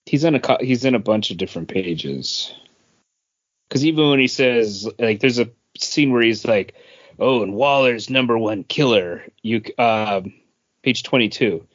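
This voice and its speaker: A man co-hosting a podcast, casually critiquing a comic and pointing out details patiently. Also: medium pitch. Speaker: podcast co-host. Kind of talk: casual comic review chat. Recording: dull lo-fi podcast audio.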